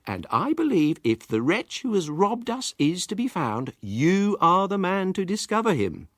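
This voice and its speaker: Man putting on a high, genteel lady. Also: very animated, measured pace, fairly bright tone, no room sound, clean studio sound, heavily acted. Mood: hopeful, admiring. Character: earnest, genteel lady, flattering and hopeful.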